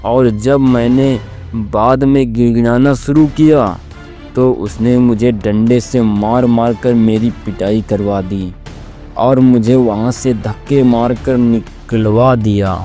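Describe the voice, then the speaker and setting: A man's voice: medium pitch, steady tone, takes it slowly, dark tone, faint music behind, natural voice. A man playing a wronged, humble servant in a cartoon moral story, speaking in a deferential, plaintive way. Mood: aggrieved.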